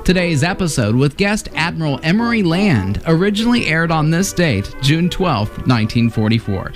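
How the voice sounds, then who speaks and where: A man's voice: a little high, big pitch swings, normal speed. A man reading scripted narration as the presenter of a radio history series. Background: music.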